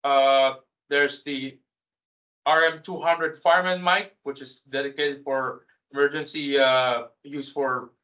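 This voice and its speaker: Bright-voiced man presenting, slightly dull audio. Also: mid-range voice, fairly even intonation. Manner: halting, hesitant delivery with repeated words. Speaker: product specialist presenting a webinar. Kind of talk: technical product webinar presentation.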